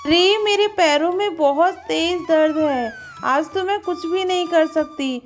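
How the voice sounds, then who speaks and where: A work-shy, complaining village wife from a cartoon moral story, played by a woman. Her voice is very high and plaintive, with music underneath. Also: measured pace.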